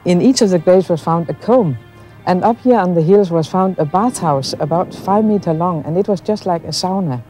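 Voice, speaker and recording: Mellow woman guide. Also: fairly low pitch, moderate pace, faint music behind. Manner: explanatory, storytelling. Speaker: local archaeology guide. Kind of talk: spoken explanation to visitors.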